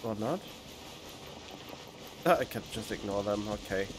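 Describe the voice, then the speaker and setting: A man's voice: lowish voice, expressive, measured pace, rough recording. Offhand gamer muttering while he plays. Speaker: streamer. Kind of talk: casual gameplay commentary. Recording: home USB mic with game music behind.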